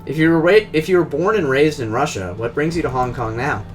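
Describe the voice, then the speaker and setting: A man's voice: fairly high pitch, brisk, soft background music. A man reading a game's dialogue aloud as the player character, in a conversational, questioning way. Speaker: game streamer.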